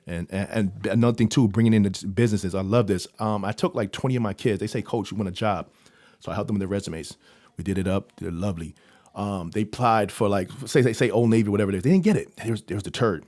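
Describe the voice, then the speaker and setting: A Jamaican-born man who mentors young people talks quickly in a fairly low voice. He comes across energetic and keeps restarting and repeating words. The recording is close and dry. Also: dry recording. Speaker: youth mentor and coach. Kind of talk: casual interview talk.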